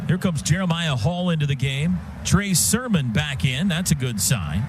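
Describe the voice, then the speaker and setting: Man calling football, loud stadium sound behind. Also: a little high, animated, moderate pace, over music. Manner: clipped phrases, vivid running description of play. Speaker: play-by-play announcer. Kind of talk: live radio football commentary. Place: stadium radio booth. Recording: radio broadcast over loud stadium sound.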